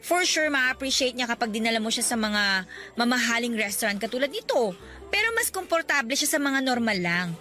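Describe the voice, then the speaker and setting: Bright high chatty bestie, frank and teasing. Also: female voice, expressive, a little fast, faint music behind. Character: young woman, frank protective best friend. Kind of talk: radio drama acting.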